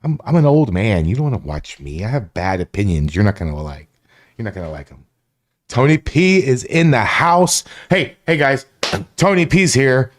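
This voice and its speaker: A man from Florida hosting a casual live stream, rambling, greeting chat viewers by name and swearing freely. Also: medium pitch. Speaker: livestream host.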